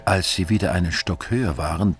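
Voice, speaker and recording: A man playing the dry, wry narrator of a radio drama, telling a crime story in a measured, deadpan way. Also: low voice, over music, lightly acted. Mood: matter-of-fact.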